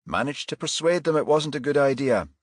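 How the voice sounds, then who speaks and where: A man's voice: on the high side, lively intonation, a little fast, dry recording, studio quality, pitched above the performer's own voice. Man acting a firm, unflappable police detective. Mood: wry.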